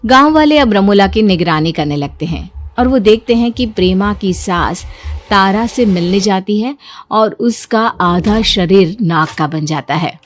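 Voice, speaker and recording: A woman's voice: medium pitch, lively intonation, a little slow, faint music behind. A woman giving scripted narration for a cartoon story.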